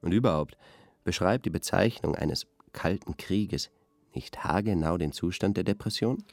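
An actor playing an earnest, lecturing intellectual art critic in a radio drama studio discussion. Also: male voice, deep voice, normal speed, creaky, a little mellow, dry recording. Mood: measured, argumentative.

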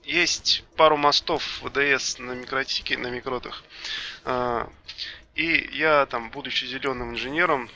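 A man's voice: mid-range voice, pushed and bright. A man in a casual tech chat, telling anecdotes in colloquial language. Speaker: podcast panelist, network engineer.

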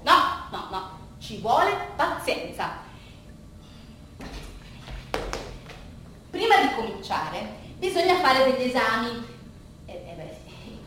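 A woman acting a monologue as a flustered, anxious art restorer who addresses an audience haltingly, stammering, repeating and correcting herself. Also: sits high, fairly bright tone. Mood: nervous, explanatory, then earnest, hesitant. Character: flustered, anxious art restorer, mentally ill man's daughter. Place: performance space with an audience. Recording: very echoey distant live recording, faint noise.

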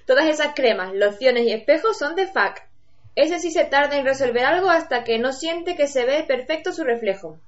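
A high, girlish voice for a cheerful, trusting prefect acted by a woman in an amateur radio drama. The sound is muffled and lo-fi. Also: lively intonation, moderate pace. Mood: chatty. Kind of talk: amateur Spanish-language radio play acting. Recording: muffled lo-fi home recording.

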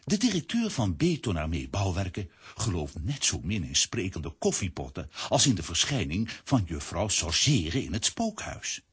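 A man's voice: sits low, wildly swooping pitch, normal speed, husky. A man narrating a children's audio play as a scripted storyteller.

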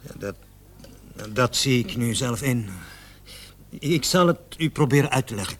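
A man's voice: mid-range voice, lively intonation, a little slow. A man acting in a radio drama as a nervous, evasive salesman under questioning, stammering and repeating himself. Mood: apologetic, pleading. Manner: stammering, flustered, repeats words. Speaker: suspect under police questioning. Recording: noisy old radio play recording.

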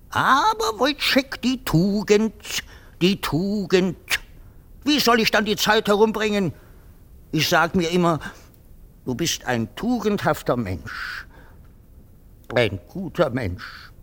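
An actor playing a pompous, patronising army captain in a theatrical radio drama, moralising and repeating himself. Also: male voice, high voice, very animated, slow, fairly bright tone, exaggerated voice. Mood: self-satisfied moralising. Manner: moralising, self-satisfied, repeats himself in sudden swoops.

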